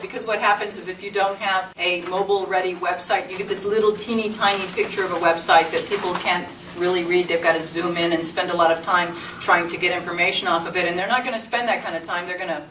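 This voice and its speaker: A woman giving an informal, conversational workshop presentation to a small group, explaining things plainly. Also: fairly low pitch. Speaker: presenter at a business meetup. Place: meeting room with a small group. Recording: roomy, distant mic with clear noise.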